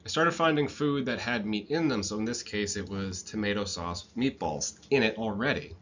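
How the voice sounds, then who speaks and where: Bright-voiced man lecturer, thirties, muffled lo-fi recording, faint hiss. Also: fairly low pitch, normal speed. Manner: relaxed, reflective storytelling with frequent fillers. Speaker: instructor. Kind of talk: storytelling lecture.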